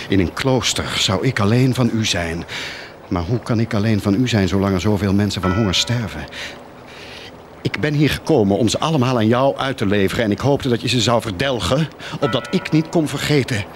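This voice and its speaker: An actor playing an anguished, guilt-ridden parish priest in a radio drama, solemn, halting and tormented. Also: male voice, fairly low pitch, background noise, natural voice. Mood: anguished, confessing.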